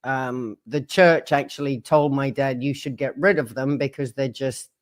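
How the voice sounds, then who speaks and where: A man's voice: medium pitch, steady tone, unhurried. A man in his sixties giving plain, frank personal testimony about his childhood. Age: 60s. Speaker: former member telling his story.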